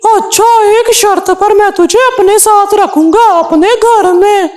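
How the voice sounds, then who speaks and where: A woman's voice: extremely high pitch, measured pace, a little mellow. A woman voicing a sly, bossy male crow with an offhand manner in a children's cartoon. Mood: smug. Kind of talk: Hindi children's cartoon dialogue.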